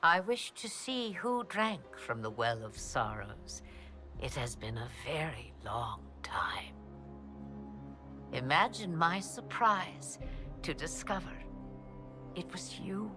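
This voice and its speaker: A woman's voice: deep voice, very animated, exaggerated voice. An actress playing an ancient, regal witch of legend in a video game, speaking in a cryptic, wry, theatrical manner. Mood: regal, teasing. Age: older. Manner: theatrical, grandly cryptic, deliberate phrasing. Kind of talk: dramatic video game acting. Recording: orchestral game music behind the voice.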